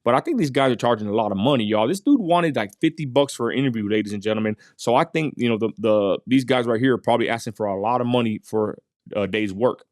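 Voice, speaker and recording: A man's voice: medium pitch, lively intonation, a little fast, no echo, clean studio sound. A man from West Texas narrating his street interview in a casual voice-over, full of fillers and asides to viewers. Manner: folksy, addresses viewers as y'all, many fillers.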